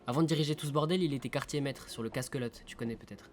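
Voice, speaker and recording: Acteur en marin conteur, musique derrière. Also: male voice, mid-range voice, very fast, a little mellow, natural voice. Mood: confiding. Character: weary seasoned sailor recounting sea legends in verse. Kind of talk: audio fiction storytelling. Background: music.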